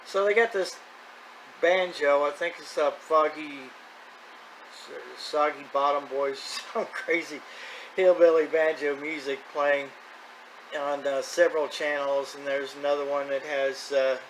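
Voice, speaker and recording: A man's voice: a little high, fairly even intonation, measured pace, fairly bright tone, rough recording. A man in his fifties talking casually and off the cuff as a radio hobbyist, plain-spoken and folksy. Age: 50s. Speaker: radio hobbyist video host. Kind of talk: casual rambling vlog talk. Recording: clear background noise.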